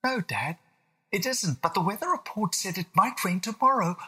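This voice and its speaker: A storybook narrator voicing a timid, worried young boy with a hushed, breathy, pleading delivery. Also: mid-range voice, lively intonation, moderate pace, voice pushed up. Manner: worried, wide-eyed, pleading. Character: anxious, timid young boy afraid of lions.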